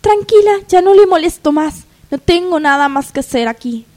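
A woman plays a wronged young working woman in a spoof radio soap. She is indignant and melodramatic, with a very high-pitched voice. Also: expressive, moderate pace, darkish tone. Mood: bitter. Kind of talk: parody radio soap opera acting.

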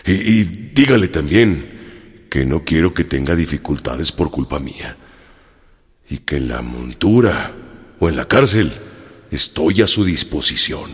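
A jailed revolutionary general in radio drama, humble and plain-spoken. His extremely deep, clean-edged yet husky voice rings in a long reverb. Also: animated, unhurried, heavily acted. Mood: grateful, earnest. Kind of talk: historical radio drama acting. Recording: long hall reverb, music underneath.

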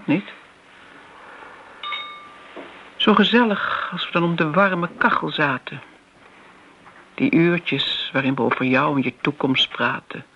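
Elderly woman, guarded and dryly deflecting, measured radio-play delivery, dull lo-fi sound. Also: deep voice, a little hiss or hum. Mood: dryly affectionate. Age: older. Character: guarded elderly woman, evasive about an old secret love. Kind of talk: radio drama acting. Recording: dull, lo-fi radio drama recording.

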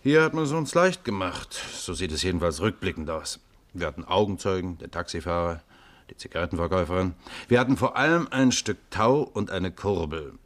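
Casting: calm, businesslike police commissioner, very low voice, sober authority, 1950s radio drama. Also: male voice, fairly quick. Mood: reflective. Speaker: actor in a radio crime play. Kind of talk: 1950s German radio play acting.

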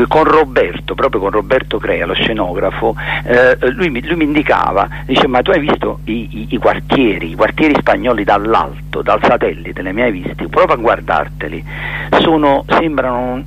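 Gravelly low-voiced man, halting and emphatic. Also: a bit bright, slight background noise, rough recording. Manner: halting, emphatic, repeats words while searching for terms. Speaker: theatre director interviewed as guest. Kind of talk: radio interview, spontaneous reflective talk.

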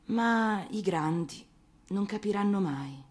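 A woman's voice: medium pitch, animated, a little mellow, slightly rough. Woman narrating a tale over music. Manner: hesitant, emotive, pausing between short phrases. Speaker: actress reading a fairy tale. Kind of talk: dramatic first-person story narration.

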